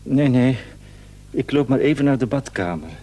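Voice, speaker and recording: A man's voice: mid-range voice, animated, moderate pace, dark tone, natural voice. An actor playing an anxious, frightened husband who stammers and repeats his words in a radio play. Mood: uneasy, hesitant. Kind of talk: Dutch radio play acting.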